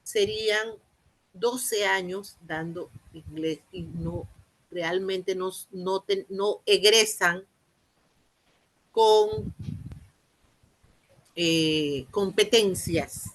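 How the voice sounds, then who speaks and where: A woman with a Panamanian Spanish accent giving an explanatory lecture, haltingly, repeating and rephrasing phrases and posing rhetorical questions. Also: medium pitch, sing-song, rough recording. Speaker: university lecturer in teacher training.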